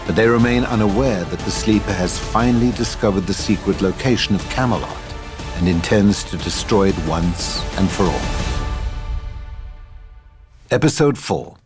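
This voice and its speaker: A man's voice: fairly low pitch, animated, moderate pace, over music. A man reading scripted narration and stage directions as the narrator of an audio drama.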